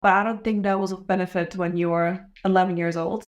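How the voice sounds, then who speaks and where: A young woman from Israel with a foreign-accented English, hosting her podcast and telling her life story in a candid, reflective way with soft laughs. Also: on the low side, steady tone, fast talker. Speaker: podcast host. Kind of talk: casual personal monologue to camera.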